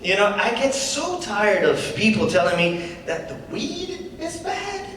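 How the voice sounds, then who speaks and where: A man's voice: high-pitched, very animated, measured pace, a bit bright, exaggerated voice. A young man, a student actor in a staged script reading, playing a cocky, flippant stand-up comedian with a casual, conversational delivery. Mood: cocky stand-up patter. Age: young adult. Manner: casual, conversational with rhetorical tag questions. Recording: echoey hall from far off, faint noise.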